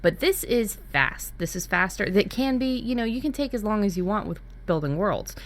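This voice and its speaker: A woman's voice: mid-range voice, animated, normal speed. A woman hosting a podcast, chatting casually and often rephrasing herself as she explains. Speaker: podcast host.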